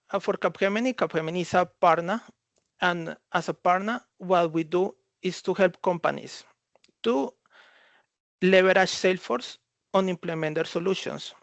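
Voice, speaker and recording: Halting male tech presenter, gravelly voice. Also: fairly high pitch, no room sound. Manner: halting, repeats and rephrases phrases. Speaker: consultant presenting at a conference. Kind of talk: slide presentation talk.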